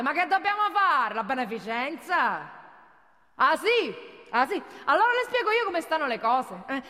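An actress playing a condescending, defensive corporate boss arguing sarcastically in a theatrical radio monologue. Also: female voice, sits high, sharp tone, heavily acted. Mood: combative. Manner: condescending, sarcastic, rhetorical questions. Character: haughty, defensive female corporate executive, condescending and combative. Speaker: company managing director addressing union members. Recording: long hall reverb of a stage.

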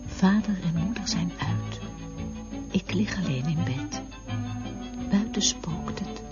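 A woman's voice: lowish voice, slow. A woman voicing a frightened little boy alone at night in a radio play. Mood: fearful, hushed. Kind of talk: slow, eerie radio play monologue. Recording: muffled radio recording under loud music.

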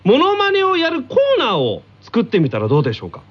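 A man's voice: very high voice, wildly swooping pitch, quick pace, dull audio, a little hiss or hum. A man hosting a radio show in a playful, chatty style. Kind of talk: playful studio chat.

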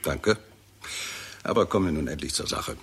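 An actor playing a blunt, skeptical scientist in a 1960s German radio drama, speaking with dry precision. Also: male voice, extremely low pitch, moderate pace, slightly rough. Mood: dry, scornful. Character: blunt, skeptical British scientist, scornful of politicians.

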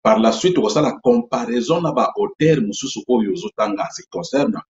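A Congolese man hosting his own channel, explaining step by step in an unhurried way with many pauses and fillers. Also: mid-range voice, expressive. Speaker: YouTube channel host. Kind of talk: explanatory talk to camera. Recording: echoey room, dull lo-fi sound.

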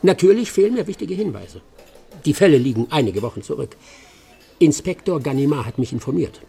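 A stern English detective in a radio play, with a husky, gravel-edged voice pitched a little high. His delivery moves widely in pitch yet stays dry, terse and confident. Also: animated, fairly quick, dark tone, full character voice. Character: stern, self-assured English master detective. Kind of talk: German radio play acting.

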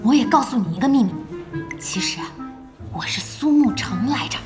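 A bright, high voice: a woman voicing a spirited young gamer, brisk, mocking and a little bossy, in a radio drama. Her pitch leaps around as she teases. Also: animated, music in the background, close to an everyday voice. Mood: teasing. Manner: brisk, mocking, big-sisterly. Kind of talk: audio drama acting.

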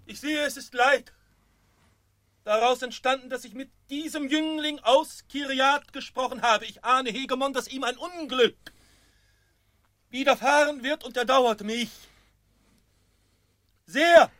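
High-voiced, earnest captive preacher. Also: male voice, bright, forceful tone, no echo, heavily acted. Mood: sorrowful. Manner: halting, solemn, pleading. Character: gentle, earnest captive preacher, frightened yet steadfast. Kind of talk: literary radio play acting.

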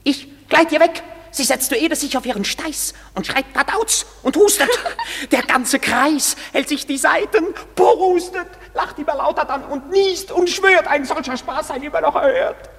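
A woman's voice: high voice, expressive, unhurried, hardly any pauses. An actress playing a mischievous goblin servant of the fairy king, delivering verse with gleeful theatrical swings in a radio play. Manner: gleeful, theatrical swings while telling of pranks. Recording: long reverberant hall.

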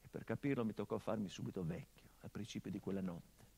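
A man's voice: fast talker, gravelly, mellow, slightly rough. A grieving son remembering his murdered father. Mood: bitter. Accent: Italian. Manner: intense, builds to impassioned outbursts then drops low. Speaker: actor in a radio monologue. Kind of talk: theatrical monologue, radio drama.

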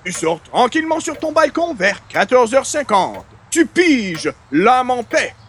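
An actor playing an excitable, grandiloquent male huckster with pompous, florid sales patter in a comic radio play. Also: extremely high pitch, unhurried, breathy edge, heavily acted. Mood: grandiose sales pitch. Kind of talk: comic radio play acting in old-time sitcom format.

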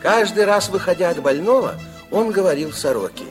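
A man's voice: high voice, expressive, brisk. A man playing a playful fairy-tale narrator in an old radio play, reading scripted storybook narration. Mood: playful, wry. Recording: old radio drama with music.

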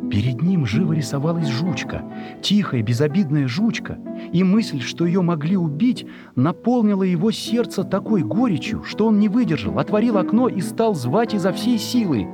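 A man's voice: sits high, big pitch swings, normal speed, music in the background. A man reading a literary story as a narrator, expressively and with emotion, in a scripted dramatic style.

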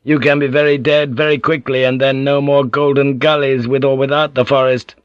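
A man's voice: mid-range voice, level tone, fairly quick, clear, resonant tone, dry recording, lo-fi sound, voice pushed up, lightly acted. A man voicing a stoic village headman who speaks in short, firm, laconic sentences.

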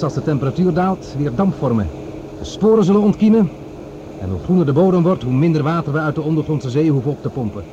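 A man plays a visionary, stubborn doctor on Mars in a science-fiction radio play. His voice sits fairly high and carries authority. The sound is dull, with clear noise behind it. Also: lightly acted. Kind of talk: Dutch radio drama acting. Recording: dull noisy old radio recording.

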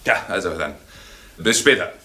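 Low, bright-voiced bumbling captain. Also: male voice, animated, moderate pace. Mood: apologetic, awkward. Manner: hesitant, stammering. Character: clumsy, apologetic, polite army captain. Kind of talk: 1970s German radio drama acting.

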